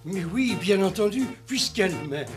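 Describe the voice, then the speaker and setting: A young cartoon prince turned into a toad. He is earnest and lovesick, with a notably high voice. Music runs clearly behind him. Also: lively intonation, moderate pace. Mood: wistful. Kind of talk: French animated cartoon dialogue.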